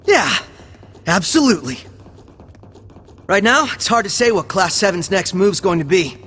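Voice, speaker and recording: A man voicing an earnest, steady young team leader in a video game. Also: high-pitched, moderate pace, husky, soft background music, heavily acted. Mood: resolute. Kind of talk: English video game voice acting.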